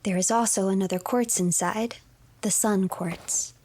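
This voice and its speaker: A woman streamer giving measured, scripted story narration of a video game and reading its files aloud. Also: medium pitch, steady tone, darkish tone, slight background noise.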